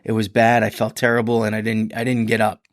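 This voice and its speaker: A man hosting a podcast in a rambling, chatty solo talk, his voice a little hoarse and congested. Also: on the low side, completely flat delivery, fast, no echo, clean studio sound. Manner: rambling, chatty, many restarts and fillers. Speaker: podcast host. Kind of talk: casual solo podcast monologue.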